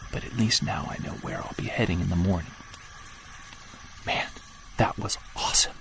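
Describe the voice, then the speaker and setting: Low, gravelly male wilderness researcher. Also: moderate pace, noisy background. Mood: hushed awe. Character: earnest, excitable male Bigfoot researcher keeping field audio log. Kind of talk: audio drama, found-recording field log monologue. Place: outdoors in wilderness sound setting, handheld recorder.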